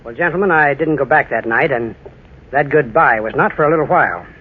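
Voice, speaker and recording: An actor playing an earnest, hesitant, concerned gentleman in a 1940s radio drama, recounting his past. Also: mid-range voice, fairly quick, breathy edge, close to an everyday voice. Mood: reflective. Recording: tinny old radio recording.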